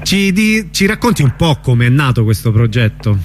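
A man's voice: medium pitch, very animated, normal speed, soft background music. A man conducting an informal, somewhat hesitant conversational radio interview. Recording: noisy radio recording with hum.

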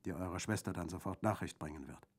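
A man's voice: low voice, fast talker. A man voicing a boisterous Corsican bandit in an audiobook, jovial and exclamatory, with a gleefully mocking, gruesome humour. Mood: urging, wry. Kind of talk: audiobook character acting.

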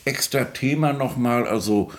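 A man's voice: medium pitch, natural voice. A man as a scholarly music expert, giving digressive, spontaneous-sounding commentary with many hesitations and tag questions. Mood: musing, digressive. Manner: halting, digressive, frequent fillers and tag questions. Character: male music expert, rambling, eager, scholarly reminiscer. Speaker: commentator on the composer.

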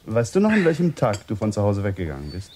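An actor playing a composed, protective gentleman host who takes charge, in a German radio drama. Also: fairly low pitch, huge pitch swings, quick pace, a little hiss or hum. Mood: polite, brisk. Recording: music behind the voice.

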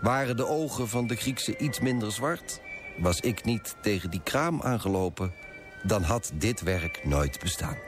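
A man's voice: fairly low pitch, animated, measured pace, music in the background. An actor playing a smug, moralizing male broker who narrates in a pompous, disapproving way in a radio play. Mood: smug, reflective.

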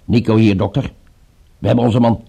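A man's voice: fairly low pitch, steady tone, normal speed, gravelly, warm tone. An actor playing a coarse, mocking henchman in a radio drama, with colloquial, sneering speech. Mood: brisk.

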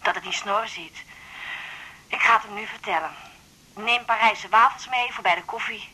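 A woman's voice: mid-range voice, moderate pace, bright, forceful tone, breathy edge, rough recording. An actress playing a flustered, old-fashioned middle-aged mother in a radio drama, chatting hesitantly over the telephone. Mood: hesitant, guilty. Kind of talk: 1980s Dutch radio drama acting.

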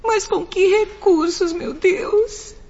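A woman's voice: very high voice, slow pace, a little mellow, full character voice. A woman playing an anguished, destitute young woman in a radio drama, crying and doubtful as she speaks. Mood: tearful despair. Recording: dull lo-fi radio recording.

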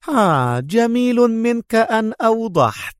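A man's voice: sits high, sing-song, moderate pace, dry recording, pitched above the performer's own voice. A defiant woman agent with a raised, pointed voice and a curt, mocking edge, as a male narrator puts her on. Heard in a dry audiobook recording. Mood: crying out. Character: defiant tough woman agent, sharp-tongued. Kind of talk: audiobook character voice.

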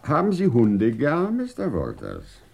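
An actor playing an urbane, teasing scientific director in a radio play, whimsical and courteous, with elaborately worded, theatrical speech. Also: male voice, mid-range voice, huge pitch swings, measured pace, vocal fry, darkish tone, slightly rough, full character voice. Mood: courtly, bantering. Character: urbane, teasing male scientific director of a desert research station. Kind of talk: German radio play acting.

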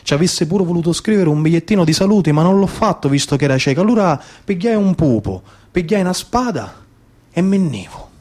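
A man's voice: on the high side, lively intonation, brisk, a little mellow. Sicilian guy in his 30s doing a stage monologue, folksy and emphatic, talks in dialect. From Sicily, Italy. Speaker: stage storyteller and actor. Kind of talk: theatrical monologue storytelling in dialect.

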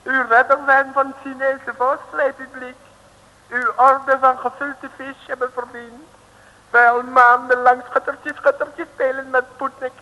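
An actor playing an excitable foreign official who speaks broken Dutch and gushes with praise, in a 1950s radio comedy. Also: male voice, very high-pitched, measured pace, heavily acted. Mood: gushing. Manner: broken grammar, gushing praise. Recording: old radio recording, long reverb.

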